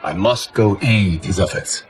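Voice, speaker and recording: An actor playing a noble, solemn guardian god in a video game, with a formal, measured, dignified delivery. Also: male voice, sits low, a faint crowd, slight background noise, rough recording. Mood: calm, gracious. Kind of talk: dramatic video game cutscene acting. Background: music.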